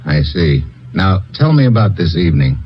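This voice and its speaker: Casting: a blunt, low-voiced agent, old-time radio play. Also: male voice, big pitch swings, normal speed, faint music behind. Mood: businesslike. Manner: brash, wisecracking and blunt. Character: tough American male government agent. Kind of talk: 1950s radio drama acting. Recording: dull lo-fi vintage radio recording.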